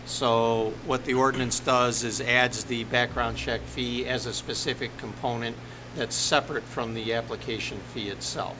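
A middle-aged man speaking haltingly as a village attorney, formally explaining ordinances at a board meeting. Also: steady tone. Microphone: desk microphone on the dais. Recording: noisy meeting room with ventilation rumble.

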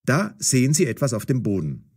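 A man narrating a scripted story for language learners in a deliberate, expressive, clearly enunciated way. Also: medium pitch.

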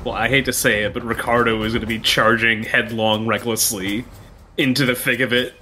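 A man's voice: mid-range voice, level tone, fairly quick, bright, forceful tone, slight background noise. A man playing a tabletop role-playing game, chatting in an informal, slangy way. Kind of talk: casual game chat.

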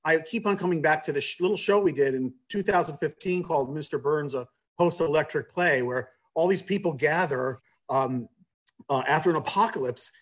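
A man with a somewhat high voice presents information informally over a video call. He speaks haltingly, often pausing on ums and restarting his phrases as he explains things. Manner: hesitant, frequent ums and trailing restarts. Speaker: theater staffer presenting subscription details. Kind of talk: informal online announcement.

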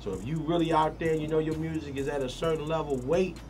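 A man's voice: on the high side, quick pace, darkish tone. A man talking casually in a documentary interview. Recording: slightly distant mic, faint music behind.

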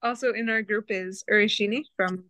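A woman's voice: medium pitch, steady tone, a little fast, bright, forceful tone, no room sound. A woman organizing a group, chatting cheerfully on a video call and laughing easily. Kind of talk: casual chat on video call.

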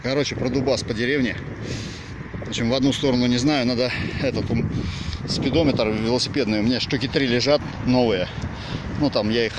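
A man's voice: mid-range voice, fairly even intonation, a little fast. A man casually chatting on a bicycle ride along a village road, rambling with lots of slang and fillers, recorded on a phone mounted on the handlebars. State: riding a bicycle while talking. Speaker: vlogger. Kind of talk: casual first-person ride commentary. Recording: loud wind noise on the mic.

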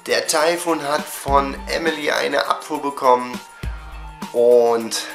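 A man's voice: mid-range voice, creaky. Young guy with a cold recapping stuff on webcam, lots of umms, echoey room. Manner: chatty, many fillers, talks straight to camera. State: has a cold, voice sounds off. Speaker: fan channel host. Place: small room at home.

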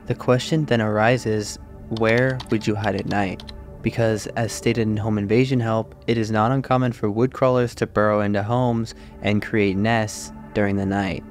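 A man's voice: on the low side, steady tone, moderate pace. A man hosting a scripted, analytical video essay, narrating step by step as a voice-over. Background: music behind the voice.

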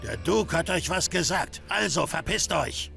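A man's voice: a little high, sing-song, measured pace, vocal fry, bright, forceful tone, soft background music, exaggerated voice. An actor playing an aggressive male henchman in a video game, snappish and hostile. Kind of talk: dramatic video game acting.

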